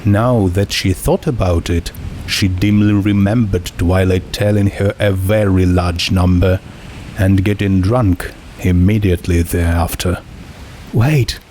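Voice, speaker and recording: A man's voice: deep voice, steady tone, unhurried, darkish tone, slight background noise. A man narrating a scripted fiction story as its reader.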